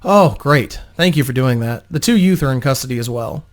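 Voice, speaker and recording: A man's voice: mid-range voice, lively intonation, a little fast, close to an everyday voice. A man voicing a composed, protective female adventurer in a fantasy story, reassuring and matter-of-fact. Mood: calm, planning. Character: composed, protective woman adventurer, soothing and decisive. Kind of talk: dramatic fantasy story reading.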